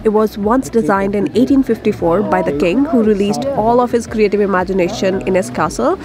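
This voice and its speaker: A woman's voice: mid-range voice, a little fast. A young adult woman talking casually as a travel vlogger. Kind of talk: casual travel vlog chat and narration. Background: loud background music.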